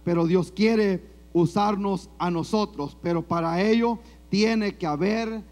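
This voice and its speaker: A male preacher with a Salvadoran accent delivers a sermon to his congregation. His voice sits high and he takes his time, stretching out phrases. He sprinkles his message with amens and glory to God. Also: slow, darkish tone. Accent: Salvadoran Spanish. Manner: preaching with frequent amens and call-and-response. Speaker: pastor. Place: church.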